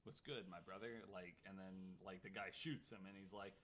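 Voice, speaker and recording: A man's voice: deep voice, fairly even intonation, a little fast, fairly bright tone, a little hiss or hum. A man co-hosting a podcast in casual, joking chat, informal and repeating words as he builds up a point. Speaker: podcast co-host.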